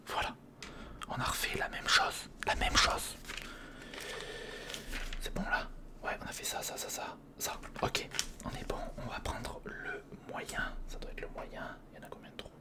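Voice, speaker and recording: A man whispering in a breathy, crackly voice, casually murmuring a step-by-step ASMR commentary as he thinks aloud. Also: normal speed, pauses often. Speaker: ASMR video creator.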